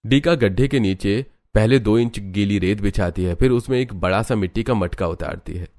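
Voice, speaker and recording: A man's voice: fairly low pitch, moderate pace, a little mellow, faint music behind. A man reading scripted narration for a cartoon story in the present tense.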